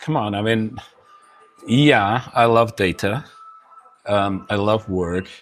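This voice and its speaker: A man's voice: on the low side, slow, faint music behind. Hesitant man explaining data projects. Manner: hesitant, many restarts and fillers. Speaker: data consultant. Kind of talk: casual business conversation.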